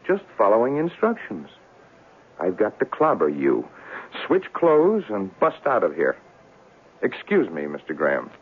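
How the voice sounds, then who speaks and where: An actor playing a fragile, paranoid young artist who swings between babyish pleading and terse muttering, in 1970s radio drama style. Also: male voice, on the low side, huge pitch swings, measured pace, breathy edge, heavily acted. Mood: flat, calculating. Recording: tinny, lightly noisy old radio recording.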